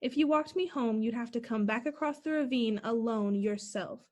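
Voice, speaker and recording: A woman playing a bold, dismissive small-town woman of thirty-three in a 1940s-style radio play, brisk and scoffing. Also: a little high, darkish tone, natural voice. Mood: teasing insistence.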